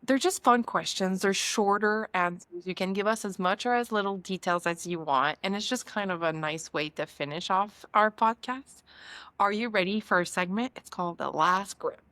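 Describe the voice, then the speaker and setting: A young woman with a French Canadian accent, hosting a podcast and asking quick, upbeat questions. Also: mid-range voice, a bit bright, no echo. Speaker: podcast host. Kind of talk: quick-fire interview questions.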